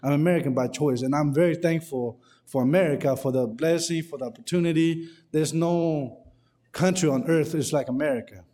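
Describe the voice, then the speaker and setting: A male guest preacher thanks the congregation before his sermon. He is earnest and a little halting, often restarting words. His voice rings with the long reverb of a church hall. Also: a little high, mellow. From Burma. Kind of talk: sermon opening.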